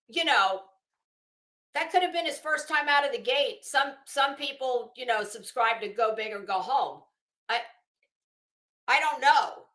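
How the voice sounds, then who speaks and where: A woman's voice: high-pitched, pushed and bright, roomy sound. A woman giving casual, rambling commentary on a document as a channel host, with a blunt, sarcastic, sweary manner. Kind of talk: casual spoken commentary while reading a legal document.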